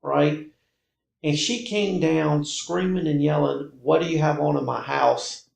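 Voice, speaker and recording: An American man casually recounting his own experiences as a guest, in halting, emphatic phrases and short repetitions. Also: fairly high pitch, fairly even intonation, vocal fry. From North Carolina, United States. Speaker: podcast guest recounting personal paranormal experiences. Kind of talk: casual conversational storytelling. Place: indoor room, in-person conversation. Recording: roomy, echoey indoor recording.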